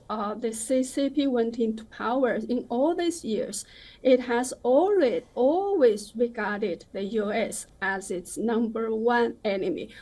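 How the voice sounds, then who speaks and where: A woman speaking as a commentator in a live news interview. Her voice sits somewhat high, and she talks slowly, with halting phrasing and firm opinions. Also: dark tone, poor audio. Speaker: interview guest, commentator on China.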